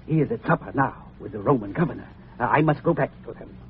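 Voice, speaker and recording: An actor playing a nervous, deferential innkeeper in an old-time radio drama, hesitant and easily agitated. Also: male voice, mid-range voice, quick pace, slight background noise, lightly acted. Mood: apologetic. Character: nervous, obliging innkeeper, anxious and fearful. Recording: thin muffled old radio recording.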